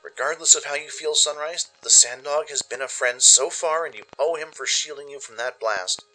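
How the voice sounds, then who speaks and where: A man playing an anxious, tender-hearted young mare pony wandering a wasteland, in an expressive, introspective radio drama style. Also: fairly high pitch, normal speed, voice pushed up, poor audio. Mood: uneasy, self-reassuring. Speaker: narrator and voice performer. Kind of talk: first-person dramatic audio story narration. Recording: music under the voice. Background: music.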